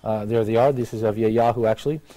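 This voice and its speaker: A man's voice: on the low side, steady tone, quick pace, dark tone, poor audio. An American man hosting a talk show in a casual, conversational style. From United States. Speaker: live talk show host.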